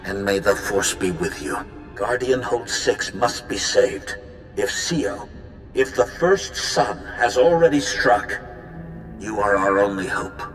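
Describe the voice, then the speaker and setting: A grave Jedi authority for a game cutscene. His voice is fairly low and formal. It echoes with long reverb over faint music. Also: animated, slow, fairly bright tone. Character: solemn male Jedi authority giving orders. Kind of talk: video game cutscene acting.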